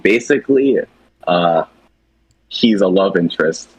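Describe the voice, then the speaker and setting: A young man co-presenting an animated series project in a casual, joking, slangy and teasing chat. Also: deep voice, measured pace, husky, no echo, poor audio.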